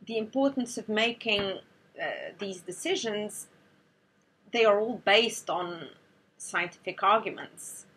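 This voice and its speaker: Woman scientist, husky voice. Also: medium pitch, a bit bright, rough recording. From Portugal. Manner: measured, explanatory, with a few restarts. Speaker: neuroscientist. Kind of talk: prepared talk to students.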